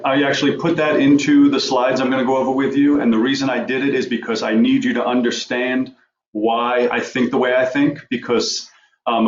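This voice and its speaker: A man from Long Island with a faint New York accent, giving a fast, informal webinar presentation over a video call. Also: medium pitch, slight background noise. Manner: fast-talking, informal and direct. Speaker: guest speaker. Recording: echoey, dull video call audio.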